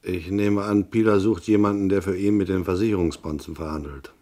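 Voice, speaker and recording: Low-voiced, laconic hard-boiled detective played by a man. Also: fairly even intonation, a little fast, dark tone, natural voice. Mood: skeptical, dry. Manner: laconic, dry, sardonic. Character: wry, skeptical male private detective telling his case. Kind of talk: radio drama narration and dialogue, hard-boiled crime.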